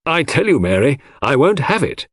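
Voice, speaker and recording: A man voicing an angry, wounded gentleman husband, indignant and pleading, in an audiobook. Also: on the high side, very animated, moderate pace, breathy edge, no room sound, studio quality, pitched above the performer's own voice, exaggerated voice.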